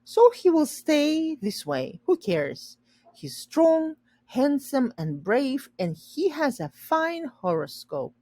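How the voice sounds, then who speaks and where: A woman's voice: high voice, big pitch swings, slow pace, warm tone, no echo, pitched above the performer's own voice, heavily acted. A woman voicing a cheerful, proud, unworried mother in a children's storybook, with exclamatory delivery. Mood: breezy.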